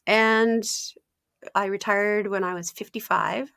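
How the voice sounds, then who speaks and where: A woman, a health coach talking casually in an interview, often laughing, clearing her throat and using fillers. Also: medium pitch, steady tone, slow pace, fairly bright tone, dry recording, clean studio sound. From Ontario, Canada.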